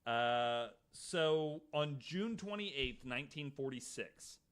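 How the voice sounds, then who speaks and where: A man's voice: mid-range voice, sharp tone. An American man co-hosting a podcast, telling a story from notes with casual banter. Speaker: podcast co-host.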